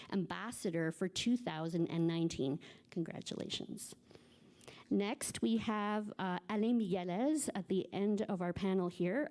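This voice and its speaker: A woman's voice: medium pitch, darkish tone. A woman moderating a live panel discussion, reading speaker bios from notes in a formal way and sometimes tripping over names. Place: conference stage before a live audience.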